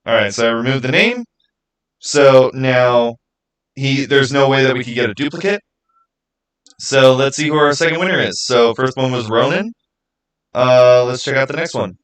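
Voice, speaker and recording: A man's voice: mid-range voice, moderate pace, pauses often, clear, resonant tone, poor audio. A man hosting a livestream, chatting casually and informally straight to his viewers. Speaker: live stream host running a giveaway.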